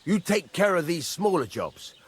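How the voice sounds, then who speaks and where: An actor playing a gruff, demanding village master blacksmith, blunt and instructive, in video game dialogue. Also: male voice, sits high, big pitch swings, moderate pace, heavily acted. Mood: blunt, brisk. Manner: instructive, blunt, swears mildly.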